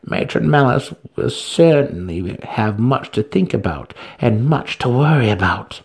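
A man voicing a tiny, strong dark elf matriarch, scheming and menacing, with a commanding manner, in a fantasy story reading. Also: medium pitch, animated, a little slow. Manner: commanding, threatening.

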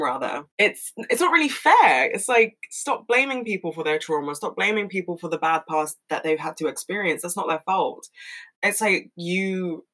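A woman in her twenties talking casually and frankly, swearing a lot and rambling with many fillers, in a fairly monotonous voice. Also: lowish voice. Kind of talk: casual monologue.

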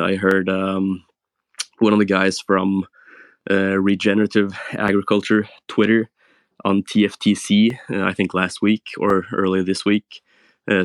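A man from Norway talking in a live audio room, halting with many filler sounds as he speaks. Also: deep voice, fairly bright tone, no echo. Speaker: guest speaker.

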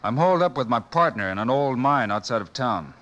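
A man playing a steady, self-assured Old West marshal in a 1950s radio drama, cool and matter-of-fact, with an American accent. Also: medium pitch, fairly quick, muffled recording, lightly acted. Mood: cool, confiding. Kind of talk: 1950s radio western acting. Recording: noisy old radio recording.

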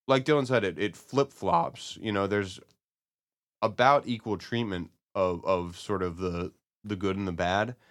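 Man, very low pitch, clean resonant tone, casual podcast discussion, analytical, halting delivery. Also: clear, resonant tone. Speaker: podcast co-host.

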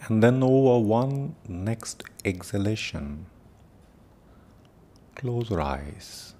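A man leading a guided meditation as teacher, giving soft-spoken instructions with long pauses. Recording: noisy with low rumble.

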